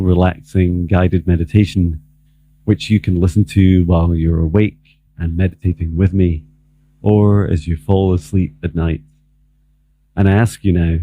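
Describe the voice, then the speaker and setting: A man leading a guided meditation as a spiritual mentor, speaking in a slow, calm, measured way with long pauses. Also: low-pitched, breathy edge. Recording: muffled, phone-like narrow band, dry.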